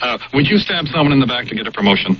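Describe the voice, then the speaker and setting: Radio ad: male examiner actor, mock-official and knowing, very animated intonation, dull sound. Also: medium pitch, racing, a crowd in the background, natural voice. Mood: knowing, reassuring. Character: teasing, officious male examiner giving a life test. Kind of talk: comic radio public service announcement.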